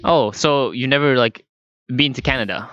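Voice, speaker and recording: Teen boy co-host, smooth voice, dry slightly muffled mic, curses freely. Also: medium pitch, fairly bright tone, dry recording. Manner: swearing, quick questions, many fillers. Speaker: podcast co-host. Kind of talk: casual chat.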